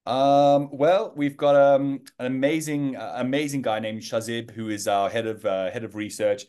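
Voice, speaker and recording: A man's voice: mid-range voice, steady tone, normal speed, warm tone, smooth. A man in his thirties with a South African accent, a guest in an interview who talks casually with many fillers and restarts.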